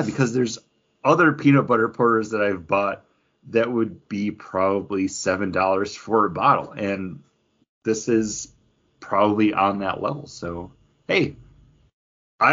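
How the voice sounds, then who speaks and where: A man with an average-pitched voice talks as a podcast host reviewing things he likes. He is informal and emphatic, with open enthusiasm in a casual chat style. The audio is a bit dull and lo-fi. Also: fairly bright tone.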